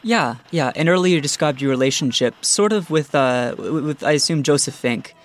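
Male podcast interviewer, voice a little high, conversational and tentative in his questioning. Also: animated, normal speed.

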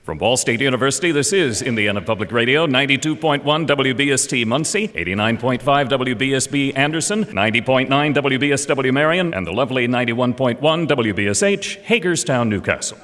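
A man's voice: mid-range voice, lively intonation, a little fast, hardly any pauses, fairly bright tone. Male station announcer, mid register, formal live broadcast delivery in a reverberant hall. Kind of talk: formal scripted station identification.

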